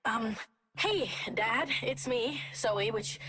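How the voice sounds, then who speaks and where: An actress playing a cheeky, awkward teenage daughter in a video game, youthful and casual, with a hesitant, self-justifying way of talking. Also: female voice, on the high side, animated, slow pace. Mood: sheepish, cheeky. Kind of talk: video game cutscene acting. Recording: loud music behind the voice.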